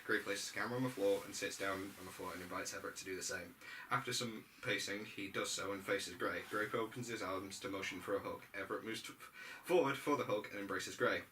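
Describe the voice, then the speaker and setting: Bright-voiced man narrating, distant mic. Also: lowish voice, fast talker. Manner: stumbling over the script. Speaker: narrator at a script read-through. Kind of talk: reading stage directions aloud, amateur. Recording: distant mic, faint room noise.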